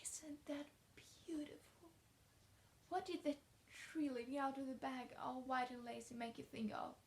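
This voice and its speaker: Woman acting a young orphan girl, storybook character, high voice, talkative, effusive, dreamy, imaginative. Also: normal speed, close to an everyday voice. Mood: dreamy, gushing.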